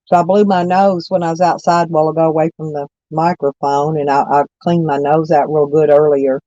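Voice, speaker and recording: A hoarse woman with stuffy sinuses, rambling folksily as she chats with live viewers from her camper van. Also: low voice, little pitch movement, a little fast, mellow, dry recording, dull audio. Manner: rambling, folksy, self-correcting. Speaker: vlogger chatting with live viewers. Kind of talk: casual morning chat.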